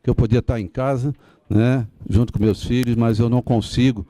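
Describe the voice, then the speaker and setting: A man's voice: mid-range voice, steady tone, brisk, mellow, no echo. A tired seventy-year-old Brazilian man making a rambling, sentimental, informal address to a meeting. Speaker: retired police officer and association advocate.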